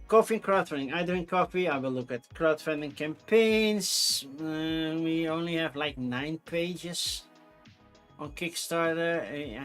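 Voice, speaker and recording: A man's voice: mid-range voice, fairly bright tone. A man with a Dutch accent, a native Dutch speaker, hosting his channel in casual solo chat, rambling and often correcting himself. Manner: rambling, chatty, self-correcting with frequent fillers. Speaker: channel host.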